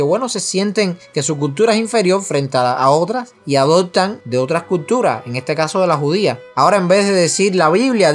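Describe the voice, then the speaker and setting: A man with a fairly high voice co-hosts a religious podcast and lays out doctrine earnestly. His delivery is steady and explanatory, and he quickens when he adds an aside. Soft music sits faintly under the talk. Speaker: podcast co-host.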